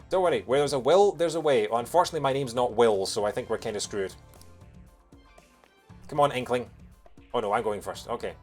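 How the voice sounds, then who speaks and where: A man from Lanarkshire with a soft Scottish accent, doing casual, jokey live gameplay commentary as a streamer. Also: mid-range voice, normal speed, pauses often. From Bothwell, Lanarkshire, Scotland. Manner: jokey, punning, animated when reacting to the game. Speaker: video game streamer. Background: game music.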